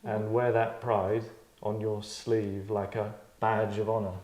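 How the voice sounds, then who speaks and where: Give me a deadpan man in an echoey room. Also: fairly low pitch, slow pace. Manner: deadpan, rambling, self-satisfied. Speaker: host and director of acting table read. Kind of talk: tongue-in-cheek acting critique, casual chat. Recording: roomy, echoey indoor sound.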